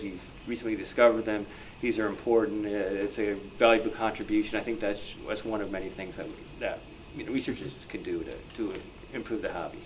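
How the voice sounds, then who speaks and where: A man with a lowish voice gives unscripted answers on a hobby club panel. He is halting and often doubles back on his phrases. The recording carries some faint noise. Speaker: panelist, old-time radio researcher. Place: club meeting room. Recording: noisy live meeting room recording.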